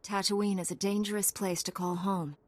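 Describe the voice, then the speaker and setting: A woman playing a composed, dutiful knight in a video game, measured and assured. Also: mid-range voice, steady tone, darkish tone, close to an everyday voice.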